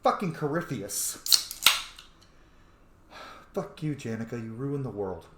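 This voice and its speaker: A man gives casual let's play commentary in a medium-pitched voice. He is opinionated and joking, with loose, sweary asides. The room echoes and faint game ambience sits behind him. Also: animated, slow, background noise. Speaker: YouTuber, gaming host. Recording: roomy echo, game sound behind.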